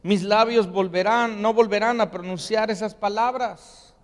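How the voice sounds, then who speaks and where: A man's voice: high-pitched, fairly even intonation, unhurried, big-room sound. A man preaching a sermon in earnest, often stopping to ask rhetorical questions. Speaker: pastor. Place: church sanctuary.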